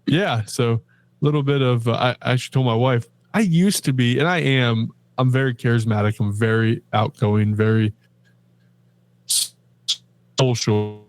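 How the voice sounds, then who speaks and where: A middle-aged man chatting casually as a podcast co-host, his voice sitting at an ordinary, mid-range pitch. He tells stories in a loose, rambling way, full of ums and restarts. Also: steady tone, normal speed. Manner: rambling, chatty storytelling with many fillers. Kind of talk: casual chat.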